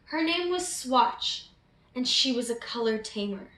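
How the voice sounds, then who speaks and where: High-voiced woman reading a children's storybook, roomy sound. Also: expressive, unhurried, a bit bright. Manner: prompts young listeners with questions. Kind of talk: expressive read-aloud for young children.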